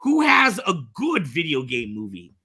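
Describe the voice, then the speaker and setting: A man with a bright, slightly high voice hosts in a loose conversational style. He eagerly throws questions to others, often repeating short phrases as he steers things along. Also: wildly swooping pitch, unhurried, husky. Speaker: talk show host. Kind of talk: casual conversational hosting.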